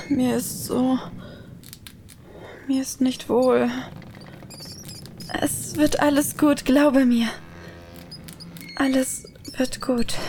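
An actress playing an unwell, devout young girl in a German radio play, halting and strained, with music behind. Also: sits high, darkish tone, slight background noise, heavily acted.

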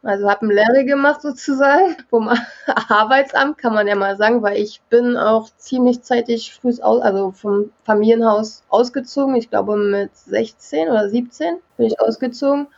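A woman's voice: on the high side, normal speed, creaky. A woman in her thirties from Berlin, chatting casually as a guest on a podcast, with a slightly dull recording. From Berlin, Germany. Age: 30s. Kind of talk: casual chat.

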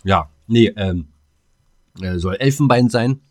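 A man's voice: lowish voice, takes it slowly, dry recording, studio quality. A man, co-hosting a casual podcast in a blunt, colloquial way, sometimes talking while eating. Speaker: podcast co-host.

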